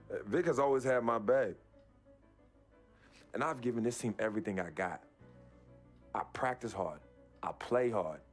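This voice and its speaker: A man's voice: on the low side, close to an everyday voice. Actor as young male athlete, earnest, defensive, loyal, casual street phrasing, game drama, music behind. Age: young adult. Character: young male basketball star, defensive, fiercely loyal to his friend. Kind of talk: naturalistic dramatic game cutscene acting.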